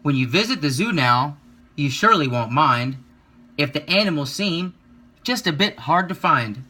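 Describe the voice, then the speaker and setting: A man reading a children's picture book aloud, with expressive, rhythmic delivery and drawn-out emphasis. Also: a little high. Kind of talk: children's story read-aloud.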